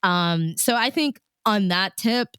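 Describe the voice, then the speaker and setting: A woman's voice: medium pitch, animated, slow, fairly bright tone, clear, resonant tone, no echo, clean studio sound. A woman radio DJ chatting as an interview guest, informal and enthusiastic, with plenty of "like" and "you know". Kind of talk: casual conversational interview.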